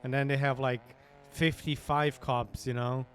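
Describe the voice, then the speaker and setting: A man's voice: medium pitch, flat delivery, a little slow, a little hiss or hum. A man streaming a roleplay game, chatting casually and rambling in a laid-back way. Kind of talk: casual gaming chat.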